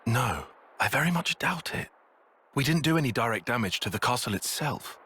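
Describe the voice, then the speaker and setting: Game role: analytical young male soldier, crisp forceful tone, animated, serious. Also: medium pitch, normal speed, full character voice. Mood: wary. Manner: precise, serious. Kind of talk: video game cutscene voice acting.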